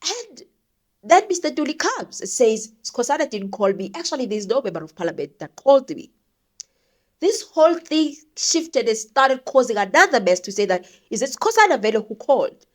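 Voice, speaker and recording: A woman's voice: on the high side, very animated, moderate pace. A South African woman giving opinionated, conversational news commentary, thinking aloud and posing rhetorical questions. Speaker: commentator, show host.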